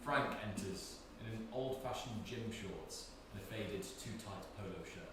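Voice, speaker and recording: A man's voice: lowish voice, unhurried, recorded from a distance, noisy background. A man reading stage directions as scripted narration, recorded in an echoey room away from the mic.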